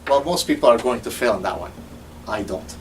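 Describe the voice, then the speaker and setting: A man's voice: medium pitch, brisk, distant mic, slight background noise. Man lecturing with sarcasm. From Lebanon. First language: Arabic. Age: 50s. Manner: animated, sarcastic, mocks opponents in quoted voices. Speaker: host. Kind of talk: lecture-style commentary.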